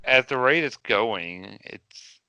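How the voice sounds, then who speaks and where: A man's voice: medium pitch, expressive, slow pace, sharp tone, clear, resonant tone, no echo, lo-fi sound. A man co-hosting a podcast in casual chat, steering the topics with hesitant restarts. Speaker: podcast co-host.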